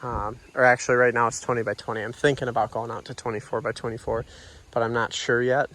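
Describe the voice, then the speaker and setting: A man's voice: medium pitch, little pitch movement, fast talker, a bit bright. A man with a nasal, stuffed-up voice, casually walking through his lot and rambling with lots of fillers in a vlog. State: nasal from allergies. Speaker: vlogger. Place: outdoors on a commercial lot and driving in a truck.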